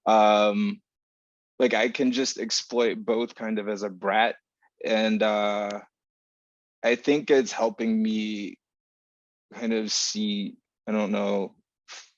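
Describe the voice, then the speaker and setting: Male writer on a panel, gravelly and flat in pitch, deliberate, searching delivery, muffled video-call sound. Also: no echo. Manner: deliberate, halting, searching for precise words. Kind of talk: reflective panel talk about craft. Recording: dull video-call audio.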